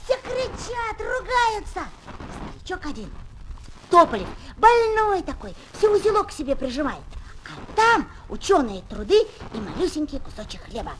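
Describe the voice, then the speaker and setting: Schoolboy, high voice, swooping intonation, emotional storyteller, children's radio play, noisy old flexi-disc. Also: female voice, sing-song, normal speed. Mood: excited, dramatic. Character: boastful yet tender-hearted schoolboy Tolka, would-be film actor.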